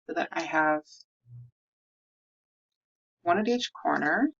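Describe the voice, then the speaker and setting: Low-pitched, creaky woman teaching crafts. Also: animated, slow pace, fairly bright tone. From United States. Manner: simple, clear step-by-step phrasing. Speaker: art teacher. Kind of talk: step-by-step craft instruction and simple storytelling. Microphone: recording a tabletop demonstration under an overhead camera. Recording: slightly dull, lo-fi audio.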